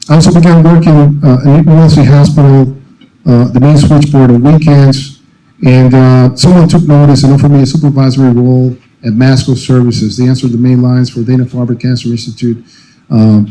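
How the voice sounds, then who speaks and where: A middle-aged man from the Dominican Republic, a native Spanish speaker, giving a prepared speech at a college convocation, earnest, with frequent pauses and fillers. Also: medium pitch, mellow, poor audio. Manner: many uh and um fillers, measured and earnest. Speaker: alumni speaker.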